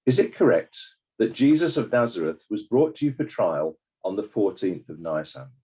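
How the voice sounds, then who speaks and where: An actor playing a formal court examiner, speaking in a measured, deliberate way in a scripted dramatized inquiry. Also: male voice, fairly low pitch, big pitch swings, dark tone, slightly rough. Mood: probing, neutral. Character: formal, probing male inquest examiner.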